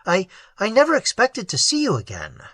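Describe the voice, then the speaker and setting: A reader voicing a startled young man who stammers and blurts out his words excitedly. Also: medium pitch, slow pace, breathy edge, no room sound, pitched above the performer's own voice, exaggerated voice. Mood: flustered, amazed. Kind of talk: audiobook dialogue.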